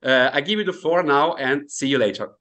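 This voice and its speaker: A man's voice: a little high, brisk, pushed and bright. A man in his thirties from Italy with an Italian accent, hosting an online finance seminar over a video call with many fillers and hesitations. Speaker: webinar host. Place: indoor home room, video call.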